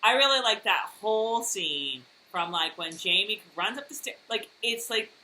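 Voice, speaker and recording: Bright-voiced chatty woman. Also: medium pitch, lively intonation, normal speed, a little hiss or hum, rough recording. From United States. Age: adult, worked in high school and college years ago. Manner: chatty, laughs often, many fillers. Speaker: podcast co-host. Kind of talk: casual recap chat.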